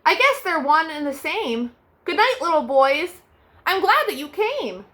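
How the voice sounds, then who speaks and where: A young woman hosting a children's storytime, reading picture books aloud in a gentle sing-song way with soft, drawn-out refrains. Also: high voice, sing-song.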